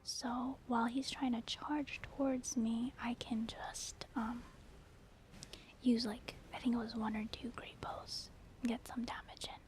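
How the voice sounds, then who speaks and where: A woman whispering soft-spoken ASMR commentary over a video game as the host. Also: fairly high pitch.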